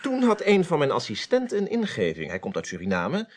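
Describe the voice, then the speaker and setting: An actor playing a courteous, assured forensic expert explaining findings in a 1980s Dutch radio drama. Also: male voice, fairly high pitch, wildly swooping pitch, a little fast, slight background noise. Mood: composed, explanatory.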